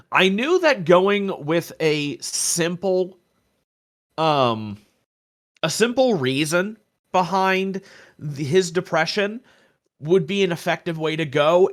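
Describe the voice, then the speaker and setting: A man's voice: a little high, fairly bright tone. A young man podcast co-host in casual, personal discussion, stressing his points by repeating words.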